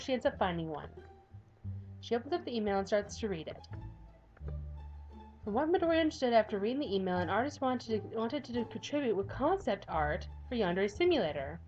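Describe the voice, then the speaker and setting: A woman's voice: a little high, lo-fi sound. A woman streaming a game, reading a visual novel's text aloud and reacting between passages. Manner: expressive, reads text then reacts with sighs and laughs. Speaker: gameplay streamer.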